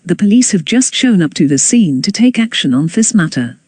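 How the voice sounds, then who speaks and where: An announcer reading scripted emergency news bulletins in a formal, measured way. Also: medium pitch, big pitch swings, a little mellow, breathy edge, no echo.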